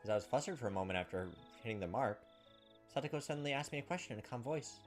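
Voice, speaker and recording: A man's voice: medium pitch, animated, racing. A man hosting a gaming video, reading visual novel text aloud and adding casual commentary. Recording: game music behind the voice. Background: video game music.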